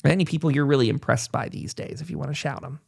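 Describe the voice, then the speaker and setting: A man's voice: fairly high pitch, quick pace, creaky, slightly rough. A man hosting a podcast, talking casually in a rambling, reassuring and self-deprecating way. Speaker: podcast host. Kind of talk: casual conversational interview talk.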